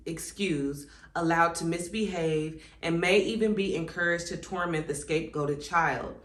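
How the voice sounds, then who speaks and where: A woman in her thirties talks to her viewers in a low, easygoing voice, reading a passage and then reflecting on it in her own words. She fills her pauses with "um" and "like." The sound has a noticeable room echo. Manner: conversational, reflective, many "um" and "like" asides. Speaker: channel host. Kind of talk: casual reflective vlog, reading from a workbook. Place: indoors at home, seated. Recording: echoey room.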